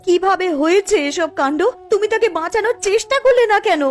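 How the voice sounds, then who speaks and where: Cartoon village wife, played by a woman: extremely high voice, pleading and lamenting. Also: animated, a little fast, soft background music. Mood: anxious disbelief. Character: distraught village wife refusing to believe bad news. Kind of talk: melodramatic cartoon dubbing.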